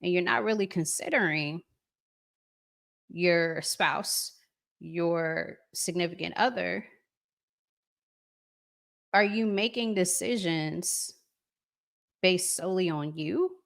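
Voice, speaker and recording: Slow-paced woman hosting a reflective chat. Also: fairly low pitch, gravelly, fairly bright tone. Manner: conversational, reflective, asks listeners rhetorical questions. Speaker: host reading and answering viewer comments. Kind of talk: casual live commentary chat with listeners.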